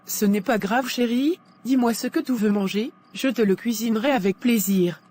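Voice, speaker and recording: Woman playing a gentle, eager-to-please cartoon wife. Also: on the high side, moderate pace, slight background noise, natural voice. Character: devoted, eager-to-please housewife, gentle and accommodating. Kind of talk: scripted animated drama dialogue.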